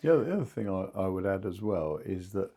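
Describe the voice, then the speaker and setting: A man co-hosting a casual business discussion as an expert, speaking in informal, halting phrases. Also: sits low, animated, dark tone. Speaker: podcast co-host and two-way radio expert.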